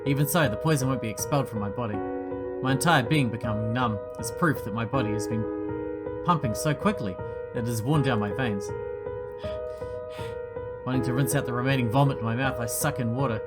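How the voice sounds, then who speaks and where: Man reading horror game text, loud music. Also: mid-range voice, a little fast, long pauses. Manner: dramatic reading of text with quieter joking asides. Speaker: gaming channel host. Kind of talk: let's play, reading visual novel text aloud.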